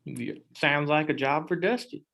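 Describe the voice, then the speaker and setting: A man's voice: a little high, moderate pace, gravelly, fairly bright tone. A man playing a laconic, cowboy-like oddball employee in a comedy web series, drawing out his words.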